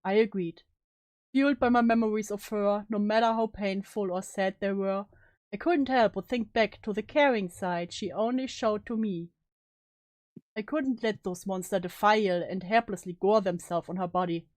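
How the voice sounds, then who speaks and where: A woman's voice: medium pitch, normal speed, darkish tone, no echo, pitched below the performer's own voice, lightly acted. A woman playing a guilt-ridden, despairing young student in a solemn, melodramatic story reading. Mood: grim, sorrowful. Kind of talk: dramatic reading of story narration.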